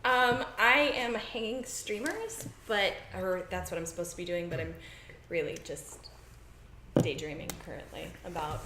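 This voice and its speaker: A woman playing a tabletop game, talking softly and dreamily in character. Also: lowish voice, unhurried. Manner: soft, dreamy in-character talk. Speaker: player. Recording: roomy echo with clear noise.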